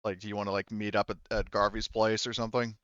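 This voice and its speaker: Low, smooth-voiced man running a game. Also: level tone, a bit bright, no room sound, lo-fi sound. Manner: halting, informal, loosely improvised delivery. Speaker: game master. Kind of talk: narrating a tabletop roleplaying game, casual.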